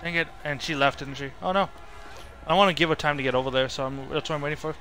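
A man's voice: fairly high pitch, steady tone, fast, bright, forceful tone, faint music behind. A young man giving casual, rambling, opinionated live commentary as he streams a video game. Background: horror video game sound.

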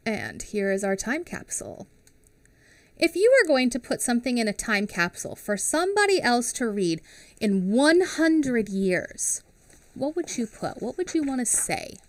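A woman in her thirties reading a picture book aloud to children on a headset mic, pausing to explain and ask questions. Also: on the high side, no room sound. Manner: expressive, asks children questions. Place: small home studio with classroom backdrop.

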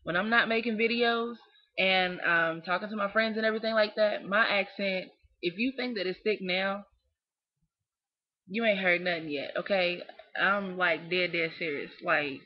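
A young Southern woman rants close to her webcam in a small dim room, scolding and emphatic, pitched in a middle range. The audio comes through dull and lo-fi. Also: steady tone, moderate pace. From Southern US, Kentucky. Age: young adult. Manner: emphatic, scolding, repeats "you know what I'm saying". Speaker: vlogger. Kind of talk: opinionated webcam rant.

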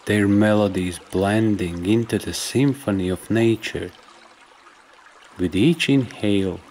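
A man leading a scripted guided meditation in a soft, measured, instructional way, leaving pauses between phrases. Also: fairly low pitch, slight background noise. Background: trickling stream and nature ambience.

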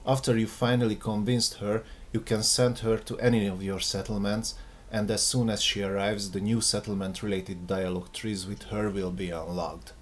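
A man's voice: lowish voice, flat delivery, moderate pace, slight background noise. A man giving scripted video narration, presenting a game mod as its maker.

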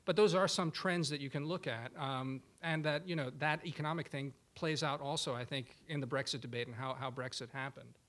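A man from Croatia speaking as a panelist at a policy discussion in a conference room, thoughtful and halting, restarting his sentences. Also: medium pitch, a bit bright. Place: large conference room with audience.

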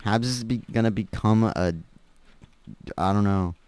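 A man with a low-pitched, smooth, clean voice trades jokes with his friends over voice chat during a match. His talk is loose and mumbly, with laughs and protests tossed in between plays. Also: unhurried, a little mellow. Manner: joking, mumbling banter. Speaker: gamer in voice chat.

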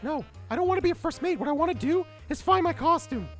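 A man's voice: extremely high pitch, animated, fast, soft background music. A man playing a flustered, exasperated teacher who stammers and interrupts himself, in an improvised comic cartoon style. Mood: exasperated, impatient. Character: flustered, exasperated male teacher hunting for his costume. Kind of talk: improvised comic character acting.

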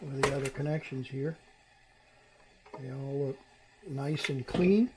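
A middle-aged man talks through a repair job at a mid-range pitch, casual and unhurried. He thinks aloud as he works at a small indoor bench, picked up by a camera mic with clearly audible noisy room ambience. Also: steady tone. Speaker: electronics repair hobbyist and host. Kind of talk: casual hands-on tutorial narration while working.

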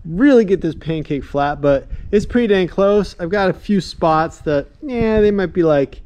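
A man's voice: high-pitched, lively intonation, normal speed, darkish tone, smooth, highs cut off. A man in his forties, talking casually and self-deprecatingly to a handheld camera while working on a building project outdoors. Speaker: channel host. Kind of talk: casual DIY build vlog. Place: outdoors and in an open-sided barn on a gravel site. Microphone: action camera held at arm's length.

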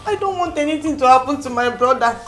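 A woman's voice: high-pitched, expressive, fast, roomy sound, soft background music. A woman with a Nigerian accent playing a sister who is crying and begging for help. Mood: pleading. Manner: pleading, tearful. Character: tearful young sister pleading for help.

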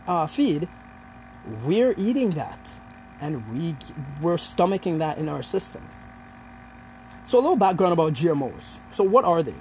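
A man's voice: high-pitched, a little mellow, slight background noise, rough recording. A young man giving an informal, explanatory student presentation in a hesitant way, feeling out his points as he talks. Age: young adult.

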